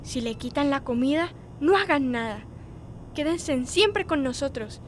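A woman playing a protective young girl in a radio play, whispering reassurance in a hushed way. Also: sits high, expressive, unhurried, a little hiss or hum. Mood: gentle, secretive. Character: protective young migrant girl comforting new children. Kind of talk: radio theatre acting.

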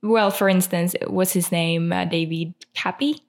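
A woman co-hosting a podcast in casual conversation. Her voice is gravelly with a lot of vocal fry and sits somewhat low. She speaks very slowly and hesitantly, often searching for the next word. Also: fairly even intonation. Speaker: podcast co-host. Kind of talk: casual chat.

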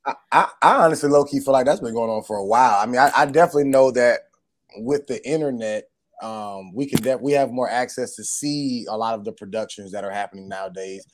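A man hosting a casual podcast chat, his voice hoarse, often saying "gotcha" and "mm-hmm" as he talks. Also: mid-range voice, quick pace, slight background noise. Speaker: podcast host.

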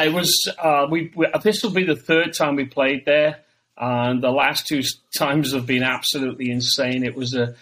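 Male guest, chatty and easygoing, mid-pitched, casual interview talk. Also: a bit bright, rough recording. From Wales. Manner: chatty, laughs between anecdotes. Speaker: guest, tribute band singer.

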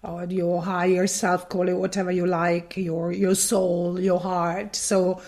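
Slow-talking Italian woman interviewer. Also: lowish voice, level tone, darkish tone. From Italy. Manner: hesitant, searching phrasing. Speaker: interviewer, host. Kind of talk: conversational interview.

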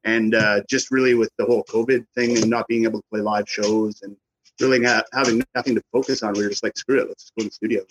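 Husky man in his forties. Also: on the low side, steady tone, fast, rough recording. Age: 40s. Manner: rambling, restarts, easygoing. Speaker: band member, guest. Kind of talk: casual interview chat.

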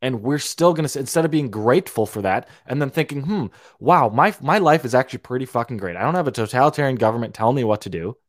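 A man's voice: mid-range voice, animated, fast talker, few pauses, no echo. Young man, podcast host, heated monologue with repeats and profanity; dry, close recording. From Romania. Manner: heated, ranting, repeats and restarts words, swears freely. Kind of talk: opinionated monologue.

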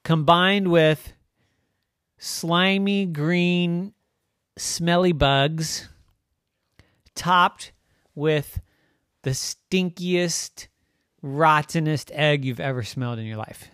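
A storyteller voicing a calm, matter-of-fact young boy who talks in a shrugging, playful way. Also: mid-range voice, moderate pace, vocal fry, no echo, studio quality. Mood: offhand.

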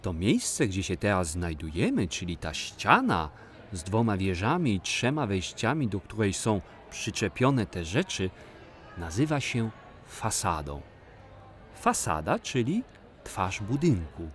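Actor as a patient, expressive male guide. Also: fairly low pitch, a little slow. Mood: kindly, emphatic. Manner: explanatory, encouraging, addressing children fondly. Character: patient, kindly adult man guiding children. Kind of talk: didactic children's audio play acting.

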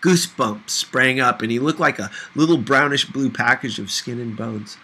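Expressive male audiobook reader, bright voice. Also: medium pitch, moderate pace, breathy edge. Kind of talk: expressive scripted narration of a novel.

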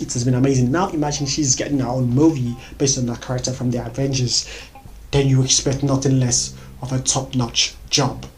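An excitable vlogger with a Nigerian accent, chatting about movies to recommend. The talk bubbles along with frequent laughs and repeated words. Soft music plays faintly underneath at a middling pitch. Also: rough recording.

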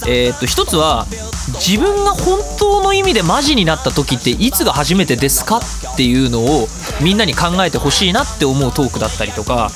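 A man hosting a radio show in a casual solo monologue, ranting in an animated way with theatrical emphasis. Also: fairly high pitch.

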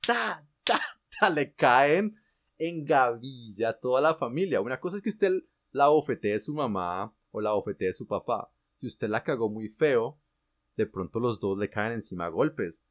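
A man's voice: mid-range voice, animated, moderate pace. A man with a Colombian accent, animatedly telling a personal story in casual, slangy chat. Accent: Colombian Spanish. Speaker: guest telling his story.

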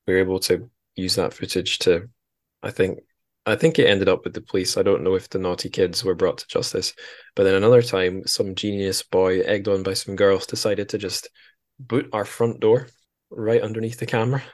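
A man's voice: deep voice, steady tone, normal speed. A man hosting a podcast in a casual book discussion, chatty and wry, rambling as he goes. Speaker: podcast host.

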